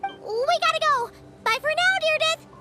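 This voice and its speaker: A woman voicing a small, childlike fairy companion in a video game, perky, excitable and protective, with an exclamatory way of talking. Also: very high voice, unhurried. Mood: puzzled, worried. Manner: refers to self in third person, exclamatory. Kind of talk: animated video game voice acting. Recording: music behind the voice.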